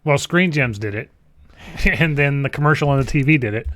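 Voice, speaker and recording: A man's voice: on the high side, normal speed, a bit bright. A man co-hosting a gaming show, explaining and critiquing film trailers in a blunt, informal way. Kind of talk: casual explanatory trailer commentary.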